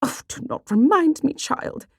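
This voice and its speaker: A fussy, excitable middle-aged mother from a period storybook. Her voice shoots up very high and swoops about as she exclaims and hushes. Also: moderate pace, no echo, clean studio sound, heavily acted. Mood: flustered, scolding. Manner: shrill, exclaiming, breathless hushing.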